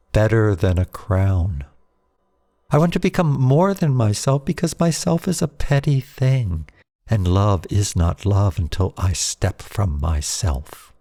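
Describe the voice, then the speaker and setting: A man reading his own poem aloud as a scripted recitation, in a solemn, measured manner with deliberate phrasing. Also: on the low side, darkish tone, no echo.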